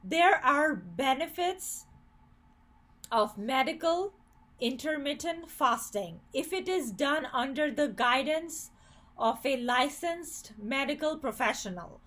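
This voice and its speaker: A woman's voice: sits high, animated, slow pace, fairly bright tone. A woman from Bangladesh giving an earnest, critical opinion commentary, with occasional stumbles and restarts.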